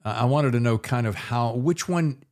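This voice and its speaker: A man's voice: medium pitch, moderate pace, a little mellow. A man chatting casually as the host of an interview podcast.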